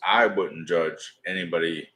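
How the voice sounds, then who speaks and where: A middle-aged man with a deep voice, rambling and swearing casually as he hosts a live stream. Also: steady tone, fairly bright tone. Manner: rambling, swearing, drawn-out storytelling. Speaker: livestream host.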